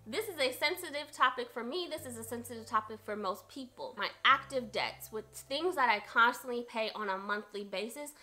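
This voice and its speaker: Bright-voiced young woman vlogging. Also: a little high, expressive, fairly quick, hardly any pauses, faint music behind. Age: 20s. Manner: earnest, repeats herself for emphasis. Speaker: budgeting vlogger. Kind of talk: casual talk to camera.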